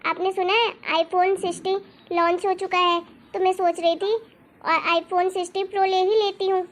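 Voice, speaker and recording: A woman's voice: very high voice, level tone, normal speed. A woman voicing a demanding, spendthrift young wife in a cartoon moral story, chatty and pleading as she nags. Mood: scheming eager.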